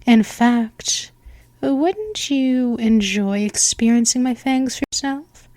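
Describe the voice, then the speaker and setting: A woman playing a seductive vampire mistress in a hypnosis roleplay, speaking softly and slipping into whispers. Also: a little high, animated, no room sound. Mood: hypnotic. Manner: slow, soft, hypnotic, with whispered stretches.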